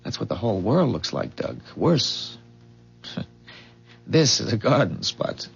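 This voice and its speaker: An actor playing an earnest, secretive inventor from a bleak future in a 1970s radio drama, explaining things with hesitant, measured pauses. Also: male voice, mid-range voice, sing-song, vocal fry, breathy edge, faint music behind, heavily acted. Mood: revealing. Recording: muffled 1970s radio broadcast.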